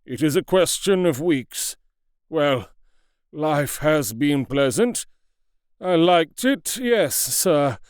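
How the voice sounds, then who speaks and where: A man's voice: a little high, expressive, takes it slowly, clean tone, dry recording, studio quality. A man voicing an elderly dying doctor, strained and pleading in despair. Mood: weary despair.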